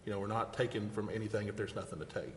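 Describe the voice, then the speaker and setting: A man's voice: fairly low pitch, steady tone, racing. Man chairing a meeting in an echoey hall. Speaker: meeting chair at a city council. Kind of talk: formal motion reading and unscripted meeting discussion. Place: indoor council chamber. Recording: echoey hall with background noise.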